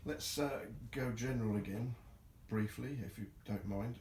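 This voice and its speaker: A man's voice: lowish voice, moderate pace, darkish tone. A man asking questions in a casual interview, politely and a little hesitantly. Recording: echoey room with background noise.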